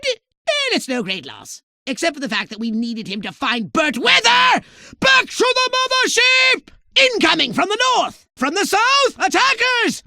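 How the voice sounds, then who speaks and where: A man's voice: very high-pitched, sharp tone, dry recording, clean studio sound, heavily acted. A man voicing a scheming, pompous alien commander in a video game, imperious, sarcastic and melodramatic as he gives orders. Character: shrill, bossy, scheming alien commander giving mission orders. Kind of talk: theatrical video game character acting.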